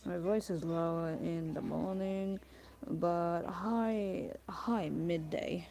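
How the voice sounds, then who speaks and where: A young man with a raspy voice chatting casually while he draws on a stream, rambling with lots of "like" and "you know". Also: high voice, steady tone, slow pace, dark tone. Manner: meandering, full of "like" and "you know". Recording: noisy room ambience.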